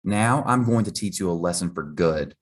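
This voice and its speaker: A man's voice: sits low, expressive, moderate pace. Man acting a harsh, bullying ship's cook. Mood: threatening. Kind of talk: children's storybook reading.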